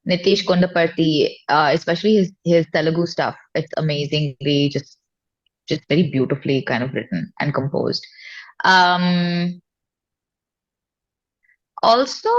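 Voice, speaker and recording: A woman's voice: on the low side, dry recording, dull audio. A woman with an Indian accent chatting candidly and informally as an interview guest, with emphatic phrasing. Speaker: interview guest, indie singer-songwriter. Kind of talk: casual chat.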